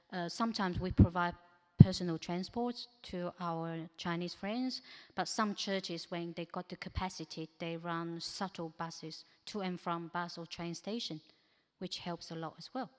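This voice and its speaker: Non-native English-speaking woman presenting in an echoey hall. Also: fairly low pitch. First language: not English. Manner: practical, advice-giving, with frequent hesitations. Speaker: speaker at a church ministry training. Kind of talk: informal presentation with slides. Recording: echoey hall with long reverb.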